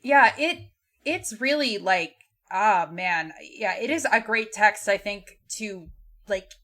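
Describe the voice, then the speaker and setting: Bright-voiced woman, thinking aloud. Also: mid-range voice, lively intonation, takes it slowly. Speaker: podcast panelist. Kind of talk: casual book discussion.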